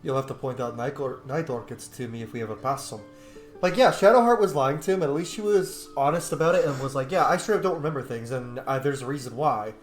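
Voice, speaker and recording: A chatty gamer narrates a roleplaying playthrough in an informal, casual way. The voice sits at a middle pitch. It has a roomy echo, with game music underneath. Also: expressive, quick pace. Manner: informal, chatty, reads dialogue choices aloud. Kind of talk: casual let's play commentary.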